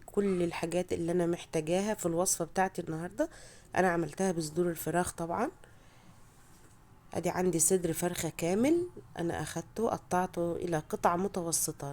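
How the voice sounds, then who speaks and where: An Egyptian woman narrates home cooking in a lowish voice at a quick clip. She is chatty and modest about her own skills, and her voice is thickened by a heavy cold. The style is a casual recipe voice-over. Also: dark tone. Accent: Egyptian Arabic. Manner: chatty, self-deprecating.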